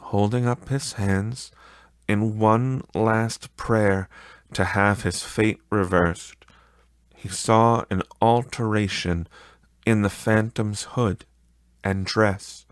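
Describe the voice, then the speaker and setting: A man narrating a literary story as an audiobook reader, with measured, dramatic delivery. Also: on the low side, fairly even intonation, clear, resonant tone, dry recording.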